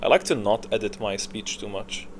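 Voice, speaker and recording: A man's voice: lowish voice, moderate pace. A man giving casual, unscripted gaming commentary in a blunt, opinionated way, swearing now and then. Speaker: video game streamer giving tier rankings. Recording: clear background noise.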